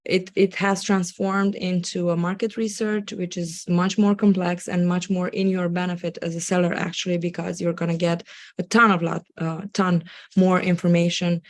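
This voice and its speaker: A woman from Romania with a Romanian accent, giving a live webinar presentation over a video call while fighting a cold, her voice slightly squeaky and full of ums and restarts. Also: little pitch movement, normal speed. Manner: hesitant, many ums and restarts. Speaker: guest expert presenter.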